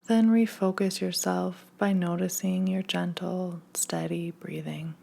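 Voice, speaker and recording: A woman leading a scripted guided meditation in a gentle, hushed, soothing manner, leaving long pauses. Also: fairly low pitch, steady tone, gravelly.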